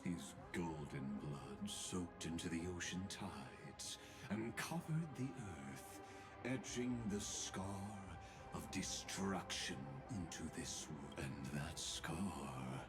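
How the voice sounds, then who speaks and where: A man's voice: deep voice, exaggerated voice. A man playing an ancient, theatrical, sinister schemer in a video game, with whispery, grandiose delivery. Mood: grandiose, ominous. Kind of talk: video game dramatic voice acting. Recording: music behind the voice.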